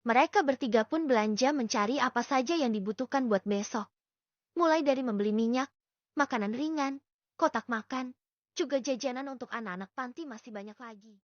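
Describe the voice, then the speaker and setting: A woman's voice: high-pitched, fairly quick, dry recording. A woman reading a scripted story as an audiobook narrator. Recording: dull lo-fi dry recording.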